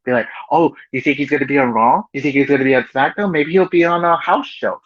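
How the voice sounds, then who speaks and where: A man's voice: medium pitch, fast talker, breathy edge, no room sound, lo-fi sound. A man co-hosting a podcast, talking loosely and chattily, full of "you know" and "like". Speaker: podcast co-host. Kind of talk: casual chat.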